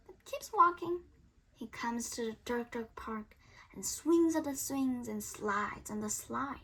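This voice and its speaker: A woman with a high, bright voice reads a picture book aloud for children. She takes her time over the phrases and performs them dramatically, dropping into hushed whispers and rising into emphatic bursts. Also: slightly rough. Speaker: storyteller reading aloud.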